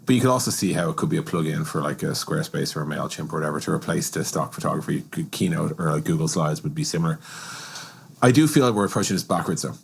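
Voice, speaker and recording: A man with an extremely low, husky voice hosts a conversational interview. He talks at a rapid clip, reasoning aloud and giving his own take as he frames questions. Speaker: podcast host.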